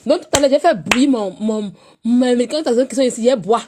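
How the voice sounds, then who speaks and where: A woman, chatting on a casual live stream while she has a cold, swinging between loud teasing and low murmurs. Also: fast, background noise.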